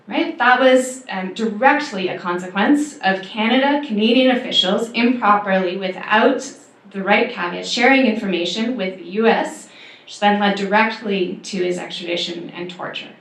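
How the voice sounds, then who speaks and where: A woman's voice: medium pitch, moderate pace, fairly bright tone. A woman lawyer giving earnest, emphatic panel remarks, with some fillers. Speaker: civil liberties lawyer on a panel. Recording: echoey room.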